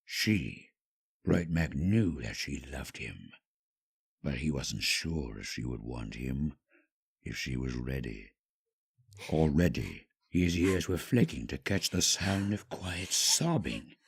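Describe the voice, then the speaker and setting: A man narrating a scripted story dramatically, with measured, hushed phrasing and pauses. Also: rumbling low, animated, creaky, slightly rough.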